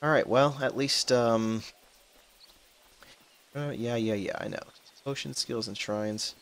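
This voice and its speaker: A man's voice: medium pitch, slow pace, smooth. A young man of twenty-one talking through a game he plays, rambling and chatty, cursing now and then at what happens on screen. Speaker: gaming channel host. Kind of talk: casual let's-play commentary. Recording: faint background noise, voice drops out when soft.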